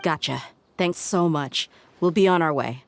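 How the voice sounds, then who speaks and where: A woman's voice: low-pitched, a little slow, creaky. An actress playing a shrewd, confident female mercenary tracker in a video game, with a cool, assured manner. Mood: confident, focused. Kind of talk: scripted video game voice acting. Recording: music behind the voice. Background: music.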